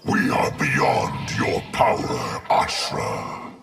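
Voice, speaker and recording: An actor voicing a vengeful undead warrior made of many souls, solemn, forceful and gravelly, in a fighting game. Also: male voice, very deep voice, huge pitch swings, very slow, full character voice. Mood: stern, threatening. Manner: solemn, speaks of himself as we. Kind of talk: fighting game character dialogue. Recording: loud game music behind.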